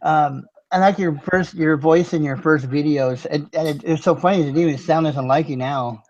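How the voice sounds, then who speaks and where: A man's voice: a little high, normal speed, a little mellow. A man hosting a live stream, chatting casually in a rambling, laid-back way and chuckling often. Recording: dull lo-fi stream audio.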